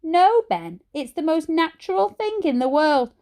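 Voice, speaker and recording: A woman's voice: very high voice, sing-song, unhurried, mellow, pitched above the performer's own voice, exaggerated voice. A woman voicing a well-meaning, nosy mum in a children's story, with a sing-song, insistent manner. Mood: coaxing, reassuring.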